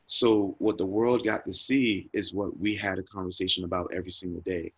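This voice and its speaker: A man's voice: low-pitched, steady tone, fairly quick, slightly rough, dry recording, low-quality recording. A man telling a personal story in an interview, earnest and reflective, growing emotional and repeating words when moved. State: emotional, near tears. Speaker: guest speaker.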